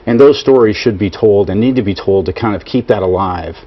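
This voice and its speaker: A man with a low voice speaks earnestly as a military officer interviewed on TV. His words come through slightly dull broadcast audio. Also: brisk. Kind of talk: TV studio interview.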